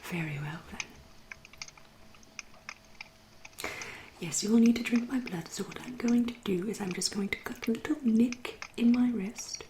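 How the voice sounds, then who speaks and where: A woman with an English accent playing a seductive, wry, refined female vampire in a soft, whispered ASMR roleplay, speaking slowly and formally. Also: on the high side, background noise, lightly acted. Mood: intimate, wry. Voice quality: soft, breathy, often whispering. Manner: slow, lingering, genteel, archly formal. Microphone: close ASMR microphone.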